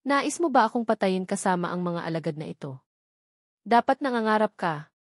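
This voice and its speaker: A woman's voice: mid-range voice, animated, normal speed, dry recording, studio quality. A woman reading the part of a cold, taunting male martial-arts villain in a story, mocking and arrogant.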